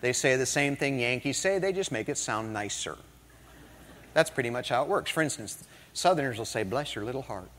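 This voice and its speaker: A man's voice: mid-range voice, a little fast. A middle-aged man from the northern United States giving a humorous motivational talk, telling stories with jokes. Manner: humorous, animated storytelling with jokes. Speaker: motivational speaker. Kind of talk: storytelling keynote talk. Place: large hall before an audience. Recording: hall reverb.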